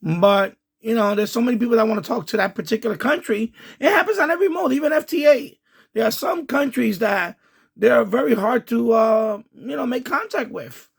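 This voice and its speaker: High-pitched, raspy man commenting casually. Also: lively intonation, brisk. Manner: rambling, repeats himself, explanatory. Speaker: amateur radio video host. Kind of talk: casual running commentary over radio chatter.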